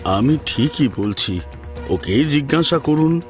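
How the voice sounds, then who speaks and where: A man playing a grave, blunt older gentleman in an audio drama, speaking slowly and deliberately. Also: fairly high pitch, lively intonation, faint music behind. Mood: grave, insistent.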